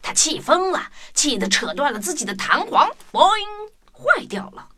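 A woman hosting and narrating a children's audio story in an expressive scripted style, speaking directly to young listeners. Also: sits high, measured pace, a little hiss or hum. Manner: addresses children directly, animated.

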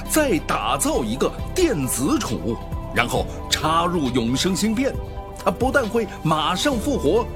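A man playing a persuasive, grandiose announcer in a futuristic advertisement within a radio drama. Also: fairly high pitch. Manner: promotional, emphatic, grandiose. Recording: music behind the announcer.

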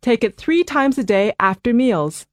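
Calm brit lady doctor, read by a woman, bit high, bouncy tone, clear and measured. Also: no echo, clean studio sound. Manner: clear, measured, reassuring delivery. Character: calm reassuring female family doctor. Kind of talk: scripted dialogue for English listening practice.

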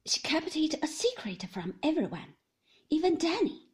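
A woman's voice: high voice, huge pitch swings, normal speed. A woman voicing a shaken, sneering man who speaks jerkily and mockingly. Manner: jerky, bitter, mocking.

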